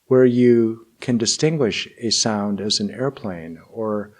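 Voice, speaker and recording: A man teaching and guiding meditation in deliberate, measured phrases with long pauses. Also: on the low side. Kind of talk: guided meditation and spoken teaching.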